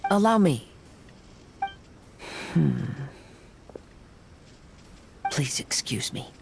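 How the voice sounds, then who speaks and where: An actor playing a calm, observant young swordsman in a video game, measured and courteous. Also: on the high side, gravelly, background noise, full character voice. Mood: polite. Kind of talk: video game cutscene acting.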